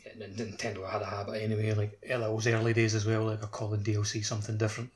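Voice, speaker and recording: A man's voice: on the low side, little pitch movement, racing. A man co-hosting a podcast in informal, casual conversation. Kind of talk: casual chat.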